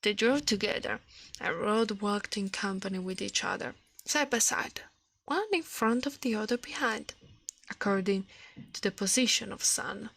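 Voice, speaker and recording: Creaky woman reading a story, ASMR style. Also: mid-range voice, normal speed, fairly bright tone, dry recording, rough recording. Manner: reads with stumbles and self-corrections. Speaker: ASMR streamer.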